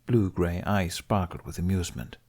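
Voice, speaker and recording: A man reading an audiobook story as narrator, in expressive scripted narration. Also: low voice, moderate pace.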